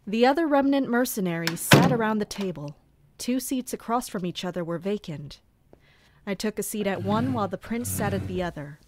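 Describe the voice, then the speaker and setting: A woman playing an observant mercenary who serves a prince, speaking in a formal, measured, deferential way for an audio drama. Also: mid-range voice, darkish tone, natural voice. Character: Kina, observant female mercenary serving a prince. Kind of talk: audio drama dialogue and inner narration.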